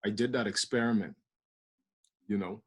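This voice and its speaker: A man's voice: lowish voice, fairly even intonation, moderate pace, slightly rough. A man from the Bahamas with a Bahamian accent, chatting casually as a guest and laughing often. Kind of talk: casual video call chat.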